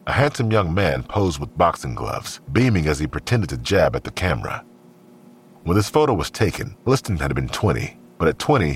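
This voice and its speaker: An extremely deep-voiced male narrator tells a crime story. His delivery is grave and deliberate, yet the pitch moves expressively through the scripted scenes. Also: a little hiss or hum. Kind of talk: scripted audio drama narration.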